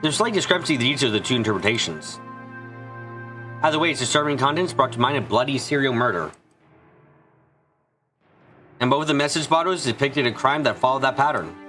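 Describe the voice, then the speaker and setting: A man reading a visual novel aloud as an expressive fan dub, narrating and voicing dialogue with the odd stumble. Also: medium pitch, fairly quick, pauses often, soft background music.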